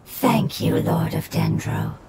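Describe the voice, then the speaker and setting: A woman voicing a solemn, grateful otherworldly spirit in a video game, breathy and creaky, with a reverent manner. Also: low voice, takes it slowly, dark tone, a little hiss or hum, heavily acted.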